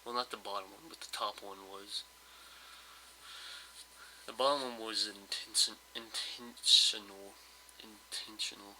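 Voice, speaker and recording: A man who sounds slightly hoarse from just waking up, giving casual, rambling commentary over his gameplay with lots of hesitations and fillers. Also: lowish voice, fairly even intonation, measured pace, pushed and bright, slight background noise, rough recording. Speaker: let's play host.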